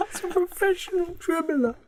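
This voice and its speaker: A man's voice: very high-pitched, measured pace, a little hiss or hum. A man co-hosting a podcast, tossing jokey remarks into casual comic banter. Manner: jokey, giggly interjections. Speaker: podcast co-host.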